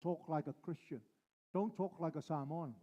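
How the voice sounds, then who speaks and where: A male pastor preaching a sermon, driving his points home with slow, repeated phrases. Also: a little high, warm tone, dull audio.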